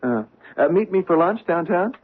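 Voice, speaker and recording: An actor playing a gentle, hesitant American husband in a radio mystery drama, halting and tactful in his speech. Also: high voice, expressive, husky. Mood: hesitant concern. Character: gentle, hesitant American husband, mildly reproachful but affectionate. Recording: muffled narrow-band old radio broadcast.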